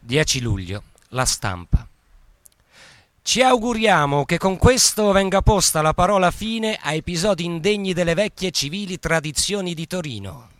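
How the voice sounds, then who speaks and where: A man's voice: high-pitched, lively intonation, normal speed, fairly bright tone, no echo. A man giving a scripted, dramatic, newsreader-like narration as an actor in radio theatre.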